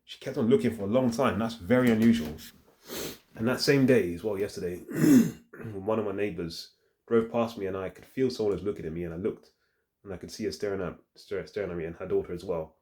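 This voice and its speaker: Deep, mellow-voiced man talking casually. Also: fairly quick. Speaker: fitness vlogger. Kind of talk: casual rambling vlog talk to the phone camera. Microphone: handheld phone.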